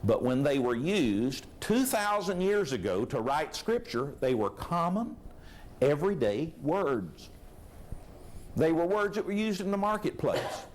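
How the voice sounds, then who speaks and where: A man preaching a sermon to a live congregation, folksy and animated, with a loud voice and frequent rhetorical questions. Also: fairly high pitch, measured pace.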